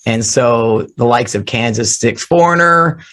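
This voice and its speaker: A man who is losing his voice, hoarse, telling animated, joking stories about his drumming start as an interview guest on a remote call. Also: medium pitch, a little slow. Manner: animated storyteller, jokey. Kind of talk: casual storytelling interview.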